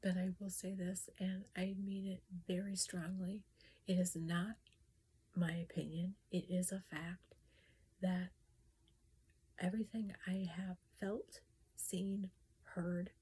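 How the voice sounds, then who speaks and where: Breathy whispering woman, soft and low. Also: level tone, slow. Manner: whispers softly, hesitant with restarts. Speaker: vlogger talking about spirits. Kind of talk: casual personal talk.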